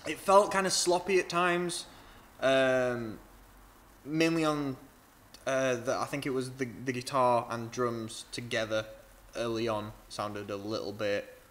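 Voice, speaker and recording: A man's voice: mid-range voice, steady tone, a little slow, fairly bright tone. A young man hosting a music review, talking casually with many fillers and frank opinions. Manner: rambling, filler-heavy, frank.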